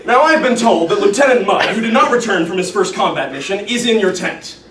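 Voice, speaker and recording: A man performs a blustering army colonel in a comic amateur scene. His voice is high for a man, barking and exasperated. It is picked up from a distance in a roomy space with faint noise. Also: animated, brisk. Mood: angry, exasperated. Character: blustering, bullying, easily confused male army colonel. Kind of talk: amateur comedic stage dialogue.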